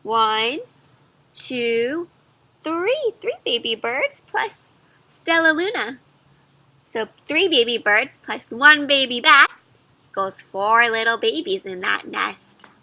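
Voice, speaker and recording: Female children's read-aloud storyteller: high, very bright voice, slow delivery, expressive and question-led, dry close sound. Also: no echo. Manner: expressive, asks questions, chatty asides to young listeners.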